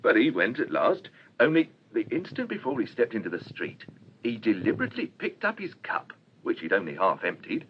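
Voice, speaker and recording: An actor playing a courteous, talkative and somewhat bewildered cafe owner in a radio drama. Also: male voice, on the low side, huge pitch swings, fairly quick. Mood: chatty, explaining. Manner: polite, chatty, explanatory. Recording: dull radio recording with faint hiss.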